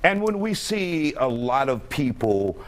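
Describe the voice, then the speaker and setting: A man moderating a panel debate, briskly handing the floor to speakers. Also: medium pitch, very animated, a little hiss or hum.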